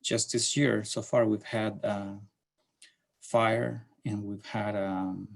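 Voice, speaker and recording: A middle-aged man from Chile with a Chilean Spanish accent, giving a slide presentation to a class in a halting way with many fillers and restarts. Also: fairly low pitch, little pitch movement, vocal fry. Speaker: city transportation planner presenting to a class.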